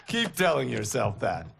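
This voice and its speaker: A man's voice: mid-range voice, creaky, full character voice. An actor playing a cocky, restless young male farmer-fighter who boasts and teases, in a video game cutscene. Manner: boastful, teasing. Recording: game soundtrack music behind.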